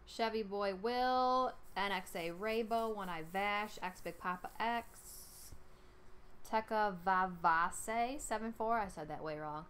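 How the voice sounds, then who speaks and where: A woman's voice: mid-range voice, slow, a bit bright, clean tone. A young woman with a New York accent, chatting casually on a live stream and reading out names in an informal way. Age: young adult. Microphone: headset.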